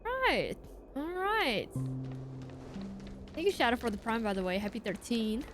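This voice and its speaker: Female game streamer: slightly high, animated pitch, casual exclamatory chat over game music. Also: measured pace. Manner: playful, exclamatory. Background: video game music.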